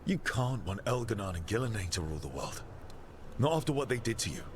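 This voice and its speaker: An actor playing an earnest, determined heroic leader in a fantasy video game, sincere and resolute. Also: male voice, lowish voice, big pitch swings, fairly quick, a little hiss or hum. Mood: persuasive.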